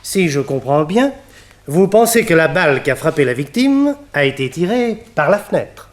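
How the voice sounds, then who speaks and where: An actor playing a polite, precise and confident technical expert in a French radio mystery play, measured and slightly teasing, in a roomy echo. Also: male voice, a little high, very animated. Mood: knowing, precise.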